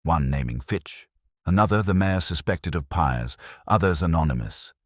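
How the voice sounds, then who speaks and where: A man voicing a tranquil, shrewd police inspector in an audiobook mystery, speaking gently and with measured kindness. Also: sits low, lively intonation, no echo, studio quality. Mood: calm.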